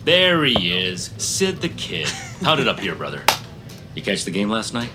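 An actor playing a smug, chummy corporate boss in a comedic audio drama, pompous and patronizing. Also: male voice, lowish voice, very animated, moderate pace, over loud music. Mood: hearty, chummy.

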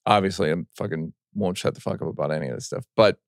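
A man's voice: low voice, fast talker, smooth, dry recording, clean studio sound. Blunt, swearing male interviewer. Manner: blunt, profane, probing questions. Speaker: interview host. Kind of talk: casual interview chat.

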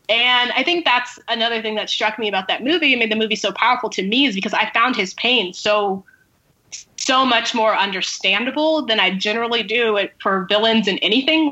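A woman with a raised, forward and bright voice talks through her ideas in a casual discussion. She is reflective and conversational, leaning on little filler phrases like "you know". The sound is slightly dull and lo-fi. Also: a little high. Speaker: podcast panelist.

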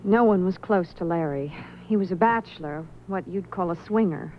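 A woman playing a young widow in a radio drama, polite, candid and a little hurried. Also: lowish voice, natural voice. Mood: plain, hurried. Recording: dull, faintly noisy old radio recording.

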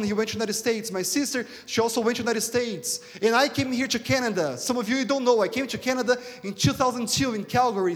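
A man from Brazil with a Brazilian accent and Portuguese as his first language, preaching a sermon in an emphatic, repetitive way with rhetorical questions. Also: very high-pitched. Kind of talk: sermon to a congregation. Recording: reverberant hall sound.

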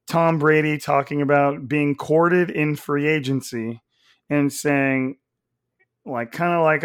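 A hoarse man hosts a casual sports podcast, his voice raspy and a little nasal. He talks at a naturally loud, energetic volume. The sound is dry and close, with no room around it. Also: a little high, no echo. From Central California. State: hoarse from coaching. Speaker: podcast host.

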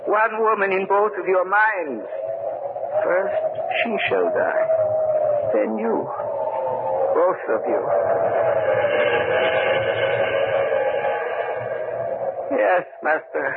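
Casting: creepy old tomb keeper, high-pitched, formal and servile, vintage radio horror on a narrow-band recording. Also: male voice, animated, gravelly, very noisy, exaggerated voice. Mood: servile, foreboding. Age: older. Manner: formal, ominous, deliberate. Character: eerie, servile old man guarding a tomb for his master. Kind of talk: 1940s radio horror acting.

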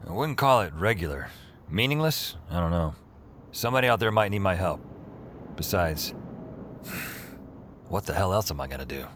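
An actor playing a weary, wry male hero in an audio drama, narrating and talking in a dry, casual, deadpan way. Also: low voice, normal speed, long pauses, slight background noise. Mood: wry, concerned. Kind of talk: first-person audio drama narration and dialogue.

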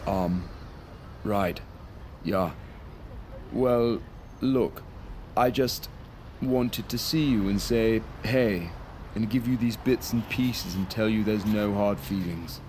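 A man's voice: fairly low pitch, takes it slowly, pauses often, a little mellow, a little hiss or hum. A man playing an awkward, apologetic, self-deprecating ex-boyfriend in a naturalistic audio drama, dejected and hesitant.

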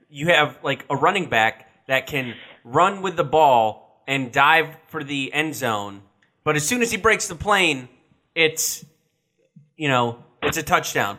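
A man's voice: a little high, pushed and bright. A man co-hosting a casual sports podcast, ranting with irritation. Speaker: podcast co-host.

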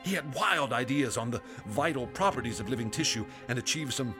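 A man's voice: medium pitch, fairly quick, music in the background, natural voice. A man playing a haunted doctor narrating. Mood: grave. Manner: earnest, grave narration, excitable in scenes. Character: frightened male doctor assistant and narrator. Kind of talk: radio drama narration.

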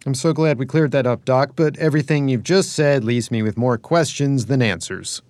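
An actor playing an eager, credulous Cold War radio host of a UFO show, probing and folksy, in an audio drama. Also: male voice, mid-range voice, normal speed, darkish tone, dry recording, close to an everyday voice. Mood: probing, skeptical.

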